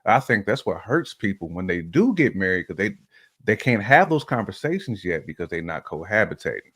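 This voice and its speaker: A man with a low voice talks as a co-host in a casual, opinionated style. The sound is dry and close. He uses colloquial phrasing and repeats himself to drive a point home. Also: sing-song, a little fast, fairly bright tone, husky, no echo. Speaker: talk show co-host.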